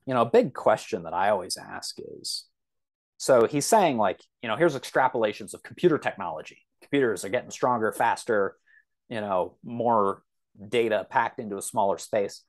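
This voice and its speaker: A man speaking as a podcast guest in a reflective, conversational way, often halting and circling back with asides. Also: lowish voice, animated. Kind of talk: thoughtful conversational interview.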